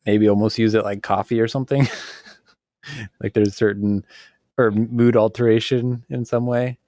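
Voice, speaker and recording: A man with a fairly low voice chats on a podcast in a relaxed, meandering way. He fills his thoughts with ums and likes and laughs easily. The sound is close and dry. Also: moderate pace, no echo. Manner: relaxed, rambling, laughs often, many fillers. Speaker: podcast co-host. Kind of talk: casual podcast conversation.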